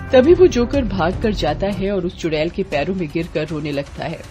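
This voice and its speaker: A woman's voice: on the low side, animated, normal speed, over music. A woman narrating a children's horror tale in a dramatic, scripted storytelling style.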